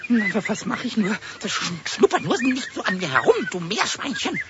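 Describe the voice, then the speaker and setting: A tiny, squeaky kobold in a children's radio play. He is childlike and cheeky, whining and pleading with wildly lively pitch. The old recording is dull and clearly noisy. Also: moderate pace. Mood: flustered, scolding. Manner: stammering, pleading, exclaiming. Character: small cheeky male kobold, childlike, anxious and whiny.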